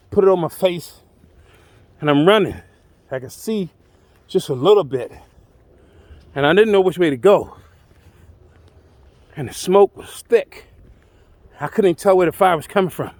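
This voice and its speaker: High-pitched man telling a colorful tale. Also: gravelly, dry recording. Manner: colloquial, exclamatory, dramatic. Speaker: storyteller. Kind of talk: animated first-person tall-tale storytelling.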